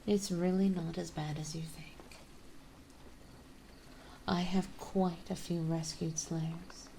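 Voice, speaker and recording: A woman playing a gentle yet possessive, dominant vampire mistress in an ASMR roleplay, speaking softly and soothingly, often in a breathy whisper. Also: lowish voice, little pitch movement, slight background noise. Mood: calm, reassuring. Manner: soothing, coaxing, slow and deliberate.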